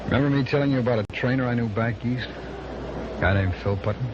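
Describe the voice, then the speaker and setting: An actor playing a brisk, slangy undercover agent posing as a gambler in an old radio crime drama. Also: male voice, mid-range voice, lightly acted. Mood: confidential, breezy. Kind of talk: 1940s-50s radio crime drama acting. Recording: very noisy, muffled old radio recording.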